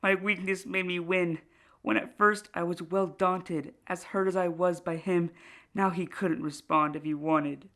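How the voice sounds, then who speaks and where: A man's voice: high voice, steady tone, unhurried, pushed and bright, exaggerated voice. A man playing a melancholic boy in a puppet film, speaking formal, earnest verse. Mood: earnest, defiant. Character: melancholic rhyming boy, bitter yet earnest.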